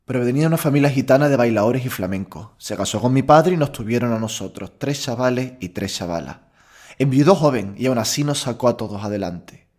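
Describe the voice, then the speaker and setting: A man's voice: mid-range voice, animated, a little fast, a little mellow, lightly acted. A male actor playing a proud young bullfighter, confiding earnestly in conversational monologue. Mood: tender grief. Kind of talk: intimate dramatic monologue in an audio drama.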